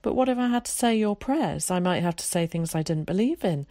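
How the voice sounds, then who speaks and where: A woman's voice: fairly low pitch, animated, fairly quick, mellow, no room sound, studio quality. A woman voicing an eager, theatrical, talkative young boy in a storybook, with dramatic, chummy old-fashioned phrasing. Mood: earnest, aggrieved.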